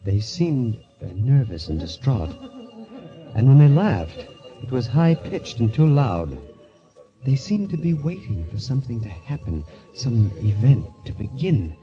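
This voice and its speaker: A man's voice: mid-range voice, very animated, measured pace, dark tone, soft background music. An actor playing an uneasy, polite man recounting his entry into a sinister world, in grave 1940s radio style. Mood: grave, haunted. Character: uneasy, hesitant man drawn into a death club, narrating. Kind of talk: 1940s radio acting, first-person mystery narration. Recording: dull, lo-fi vintage radio recording.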